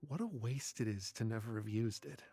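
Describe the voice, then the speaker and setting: Earnest young scholar game character, devoted n emotional, lively pitch, faint music under it. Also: male voice, a little fast. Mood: grieving, reflective. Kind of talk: dramatic video game voice acting.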